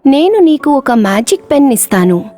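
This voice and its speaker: A woman voicing a kind, gentle mermaid in a cartoon storybook, reassuring. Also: sits high, sing-song, moderate pace, big-room sound.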